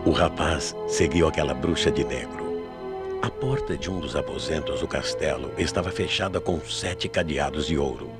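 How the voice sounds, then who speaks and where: A man narrating a radio mystery play in a grave, measured, dramatic storytelling manner. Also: extremely low pitch. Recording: AM radio drama with loud music behind.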